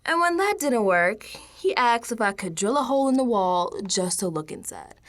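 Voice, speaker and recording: Woman acting animated, slangy young New woman. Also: fairly high pitch, normal speed. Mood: wry, exasperated. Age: young adult. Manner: slangy, conversational, dropping into hushed asides. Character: streetwise, spooked young New York woman recording audio diary. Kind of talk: first-person audio drama monologue.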